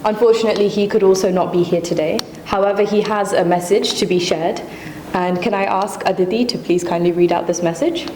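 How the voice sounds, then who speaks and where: A woman's voice: medium pitch, a little fast, a little mellow, echoey room, a little hiss or hum. A woman hosting a launch event, introducing speakers with polite, formal invitations. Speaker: event host.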